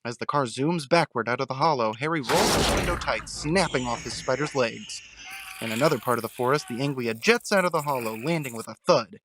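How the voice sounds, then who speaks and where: A man delivering dramatic scripted narration with suspenseful, deliberate phrasing. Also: medium pitch, background noise.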